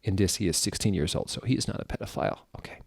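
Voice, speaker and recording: A man's voice: low-pitched, expressive, racing, creaky, no echo, studio quality. A man hosting a comedic dramatic reading, theatrical in delivery and dropping amused, exasperated asides.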